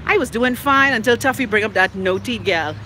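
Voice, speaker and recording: A woman's voice: on the high side, expressive, fairly quick, pushed and bright, a little hiss or hum. A Bahamian woman hosting a podcast, chatting bluntly and joking as she walks down a street. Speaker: podcast host. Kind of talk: casual vlog chat while walking. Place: outdoors on a street.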